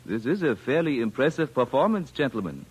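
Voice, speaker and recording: An actor playing a pompous alien official in old-time radio drama, speaking in formal phrases that can turn flustered. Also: male voice, medium pitch, big pitch swings, breathy edge. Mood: politely guarded. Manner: formal, measured, swinging into flustered exclamation. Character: pompous, formal male alien official testing captive Earthmen. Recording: noisy vintage radio drama recording.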